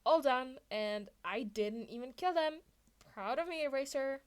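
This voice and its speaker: A woman voicing a loud, playful, teasing male hero in a fan fiction reading. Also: sits high, animated, a little slow, clean tone, pitched above the performer's own voice. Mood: smug, cheerful. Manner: bold, exclaiming, teasing.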